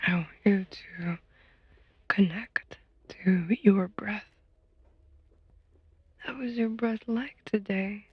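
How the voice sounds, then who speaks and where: Female meditation guide, very breathy and whispered, slow and gentle, on a slightly dull recording. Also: medium pitch, no echo. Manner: gentle, soothing, unhurried with long pauses.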